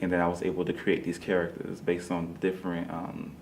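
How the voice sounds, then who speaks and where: A man with a very low voice speaks as an interview guest. He tells his story earnestly and casually, doubling back on his words as he goes. There is some noise behind him. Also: monotone, a little fast, darkish tone. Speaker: interview guest, playwright and director.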